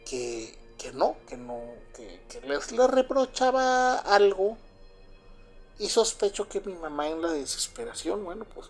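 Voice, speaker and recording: A Cuban-born man with a Mexican Spanish accent who was raised in Mexico, telling a first-person story in a halting, confiding way full of fillers and restarts. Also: on the high side. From Cuba, raised in Mexico. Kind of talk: first-person spoken recollection.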